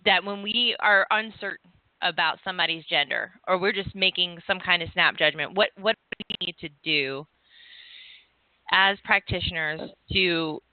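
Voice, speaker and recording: A woman's voice: lowish voice, normal speed, dry recording, narrow, tinny audio. A woman hosting an interview, a former hypnotist who rambles and searches for her words with many restarts. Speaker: podcast host and former hypnotist. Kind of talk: casual interview chat.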